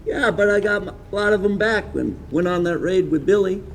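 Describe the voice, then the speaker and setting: A plainspoken frontier rancher, played by a man, determined to protect his wife from hired gunmen. His voice sits a bit high and has a gravelly edge. Faint noise hangs in the outdoor recording. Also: fairly quick. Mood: resolute. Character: worried rancher husband selling out. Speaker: reenactor. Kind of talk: outdoor Wild West reenactment.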